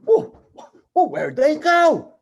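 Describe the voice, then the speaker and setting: A man's voice: extremely high pitch, huge pitch swings, takes it slowly, vocal fry, voice pushed up, full character voice. Guy doing a kind farm owner puppet, reassuring, voice goes up and down a lot. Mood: kind, welcoming. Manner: welcoming, reassuring. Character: kindly, welcoming male farm owner in puppet play. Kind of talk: puppet show storytelling.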